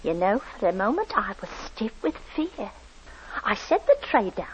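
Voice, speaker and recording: A woman's voice: medium pitch, big pitch swings, normal speed, lightly acted. An actress playing a timid, anxious landlady who stammers eagerly in a vintage radio drama. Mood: reflective. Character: timid, flustered older landlady, eager to please. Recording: dull lo-fi old radio recording with faint hiss.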